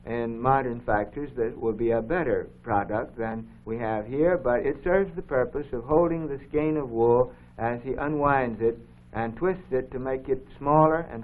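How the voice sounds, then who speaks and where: A thin, band-limited 1950s broadcast recording carries a man's voice of medium pitch. He explains things step by step like an educator, in a formal, measured way, commenting on what is being shown. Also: narrow, tinny audio. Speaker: co-host of an educational program. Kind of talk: unscripted explanatory commentary over film footage.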